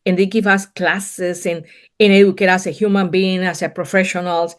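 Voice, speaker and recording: A woman's voice: lowish voice, flat delivery, normal speed. A middle-aged woman from Colombia, speaking English with a thick Colombian accent as a non-native speaker. She is a business owner being interviewed, and she talks earnestly in broken English, often repeating words. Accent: Colombian Spanish. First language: Spanish. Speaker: guest, painting business owner. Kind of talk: casual interview conversation.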